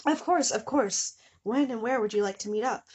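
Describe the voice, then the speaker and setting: A woman's voice: a little high, moderate pace, darkish tone, a little hiss or hum, lightly acted. A woman playing a lovestruck teenage fangirl from a fan-fiction story, gushing and melodramatic. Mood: eager.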